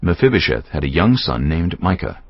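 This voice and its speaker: A man with an extremely deep, creaky voice narrates scripture. His delivery is solemn and deliberate yet moves widely in pitch, like a storyteller reading a script. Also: big pitch swings, dry recording. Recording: dull lo-fi audio over music.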